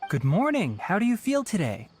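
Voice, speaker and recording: A man playing a calm, courteous young forest ranger in a video game, speaking in a measured, articulate way. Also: sits high, heavy background noise. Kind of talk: video game dialogue acting. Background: background music.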